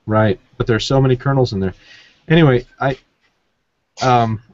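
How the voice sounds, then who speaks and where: A man's voice: mid-range voice, normal speed, dry recording. Rambling male podcast host, muffled audio. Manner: rambling, jokey, loses his train of thought. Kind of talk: casual podcast chat. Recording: dull, muffled lo-fi sound.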